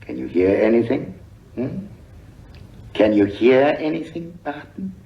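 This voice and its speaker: A suave sinister villain. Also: male voice, mid-range voice, wildly swooping pitch. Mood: menacing. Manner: smooth, deliberate, mocking menace. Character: suave sinister male villain holding captives. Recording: echoey, dull old radio broadcast from afar.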